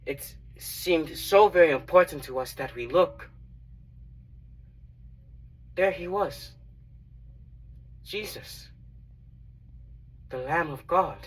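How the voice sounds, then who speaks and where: A young man playing a reverent, earnest disciple who recounts his memories in a halting, reflective dramatic monologue. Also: a little high, breathy edge, far from the mic, voice pushed up, rough recording. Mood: grave, sorrowful. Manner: halting, reflective, with long pauses.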